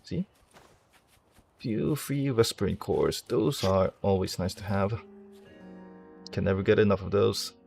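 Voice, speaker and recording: A man's voice: fairly low pitch, a little slow, vocal fry, pauses often, a little mellow, faint music behind. A man playing a video game and commentating casually, rambling and thinking aloud in a self-deprecating way. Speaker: streamer, game player.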